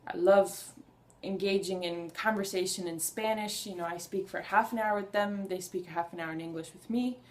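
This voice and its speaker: A woman's voice: medium pitch, fairly even intonation, normal speed. A young woman talking to camera in a casual personal vlog, earnest and enthusiastic, rambling a little and restarting her sentences. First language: Hungarian. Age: young adult. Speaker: vlogger.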